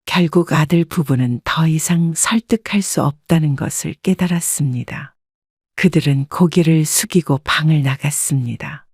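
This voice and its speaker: A woman narrating a scripted first-person life story in a calm, even manner. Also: deep voice, dry recording, studio quality.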